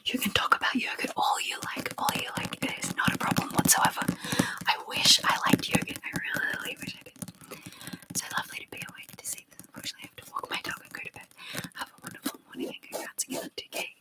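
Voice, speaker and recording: A woman hosting a whispered ASMR live chat, reading viewer comments aloud and softly repeating phrases over and over. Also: fast talker, poor audio. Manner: reads viewer comments aloud and answers them. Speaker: ASMR livestream host.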